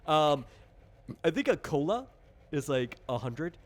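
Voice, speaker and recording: A man's voice: a little high, sing-song, unhurried. A man acting as game master, casually explaining tabletop game rules and thinking aloud as he goes. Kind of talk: casual explanatory chat during character creation.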